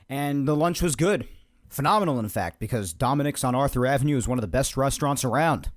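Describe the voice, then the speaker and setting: Young new guy rambling on his podcast, a bit hoarse, mid-pitched voice, super dry close sound. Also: no echo. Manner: chatty, energetic, rambling. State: slightly hoarse. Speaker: podcast host. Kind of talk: solo sports podcast chat.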